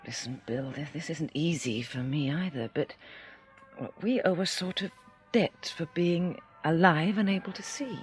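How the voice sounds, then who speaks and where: A woman's voice: deep voice, normal speed, soft background music, close to an everyday voice. An actress playing a practical, tender but resolute young woman in a radio drama. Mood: earnest, hesitant.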